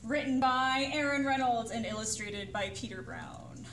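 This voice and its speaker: A woman reading a picture book aloud to children as a librarian, with dramatic, suspenseful delivery. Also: a little high. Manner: expressive, suspenseful pauses and sudden exclamations. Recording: roomy echo, distant mic, faint noise.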